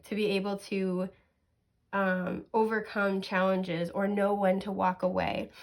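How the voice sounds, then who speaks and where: A sniffly woman reading tarot cards in a chatty, reassuring way, with many fillers and soft laughs. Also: mid-range voice, flat delivery, measured pace. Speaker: tarot card reader. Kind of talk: casual pick-a-card tarot reading.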